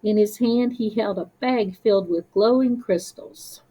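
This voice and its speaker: A woman narrating a children's story as a read-aloud, careful and expressive. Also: mid-range voice, measured pace, rough recording.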